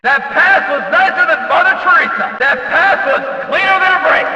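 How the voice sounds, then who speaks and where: A man playing a brash, jokey, excitable stock-car race announcer in a video game, with folksy, punchy calls. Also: very high-pitched, normal speed, full character voice. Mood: excited, wry. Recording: echoey hall PA, distant, slightly dull.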